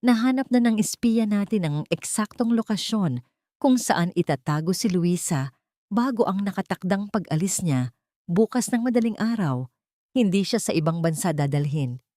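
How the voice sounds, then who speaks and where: A woman's voice: mid-range voice, lively intonation, moderate pace, warm tone, no echo, clean studio sound, lightly acted. A steadfast man bent on revenge , acted out by a woman narrator speaks with a Filipino accent. He is earnest, tense and resolute, in a dryly recorded romantic melodrama. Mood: tense, urgent. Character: steadfast, intense man plotting revenge, devoted lover.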